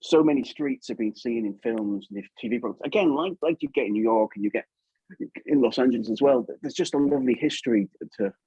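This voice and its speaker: Male, English accent, soft dark tone with a husky edge, chatty guest delivery full of tag questions. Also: medium pitch, fast, no room sound, highs cut off. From England. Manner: informal, chatty, seeks agreement with tag questions. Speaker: guest puppeteer. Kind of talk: casual interview chat.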